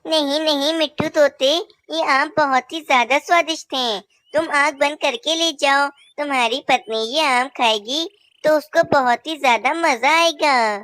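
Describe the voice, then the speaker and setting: A woman's voice: high-pitched, a little slow, fairly bright tone, no room sound. A woman voicing a cheerful young female bird who sells mangoes, polite and eager. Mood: reassuring. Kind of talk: cartoon voice acting.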